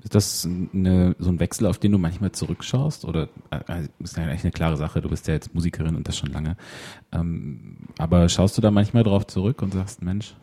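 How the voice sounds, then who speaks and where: A man's voice: low voice, a little fast, warm tone, husky. A man working as an interviewer, asking conversational questions and searching a little for his phrasing.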